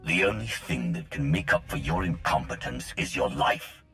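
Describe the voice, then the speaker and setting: An actor playing a menacing, imperious Sith in a video game, speaking in a terse, stern manner. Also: male voice, a bass voice, expressive, moderate pace, gravelly, pushed and bright, full character voice. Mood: cold disdain. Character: menacing, imperious adult male Sith, cold and commanding. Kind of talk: video game dialogue acting. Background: music.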